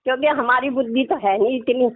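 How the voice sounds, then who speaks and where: A woman's voice: high-pitched, fairly even intonation, quick pace. A middle-aged woman, chatting casually in a complaining way and tagging phrases with little questions. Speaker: caller, religious devotee. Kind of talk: casual phone conversation. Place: phone call. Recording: tinny, narrow phone line.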